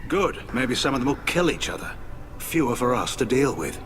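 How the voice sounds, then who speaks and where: An actor playing a blunt, wry, middle-aged alien admiral and hawkish war veteran in a video game. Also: male voice, medium pitch, big pitch swings, normal speed, a little hiss or hum. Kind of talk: video game dialogue acting. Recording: loud music behind the voice. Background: music.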